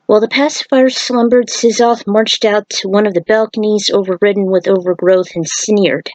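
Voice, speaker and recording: A woman's voice: mid-range voice, fairly even intonation, moderate pace, darkish tone, no room sound, low-quality recording. A woman reading a story aloud as narrator, occasionally stumbling over a word. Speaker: fan fiction reader.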